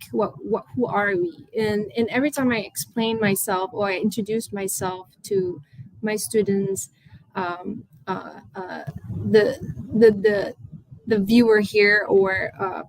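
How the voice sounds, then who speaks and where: A woman from Malaysia presents as a guest panelist in an online art dialogue. She talks over a video call in a hesitant way, often restarting and filling pauses. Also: medium pitch, low-quality recording. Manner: hesitant, many fillers and restarts.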